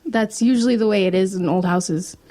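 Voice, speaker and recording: A woman's voice: mid-range voice, brisk, dark tone, close to an everyday voice. A woman playing a guarded, wry teenage older sister in an audio drama, casual and offhand. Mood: reassuring.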